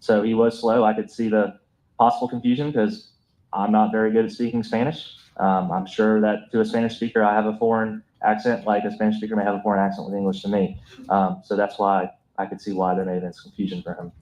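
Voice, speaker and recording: A man's voice: fairly low pitch, level tone, quick pace, darkish tone, rough recording. A man, a police officer, testifying in a courtroom, hesitant with many ums and restarts.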